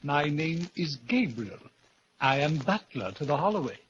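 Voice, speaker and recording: A man playing a formal, eerily composed butler in a 1940s radio drama, with a measured, polite manner, on a muffled old recording. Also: fairly high pitch, sing-song, fairly bright tone. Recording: muffled, faintly noisy vintage radio recording.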